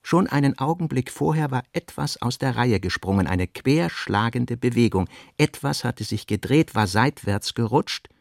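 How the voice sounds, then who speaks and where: A man's voice: medium pitch, animated, moderate pace, gravelly, few pauses, no room sound, clean studio sound. A man narrating literary prose for a radio play in a scripted reading style.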